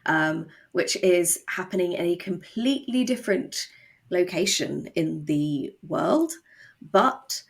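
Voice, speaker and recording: A woman's voice: on the low side, expressive, slow, gravelly. A woman talking casually as an interview guest on a podcast. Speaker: guest, writer and co-creator.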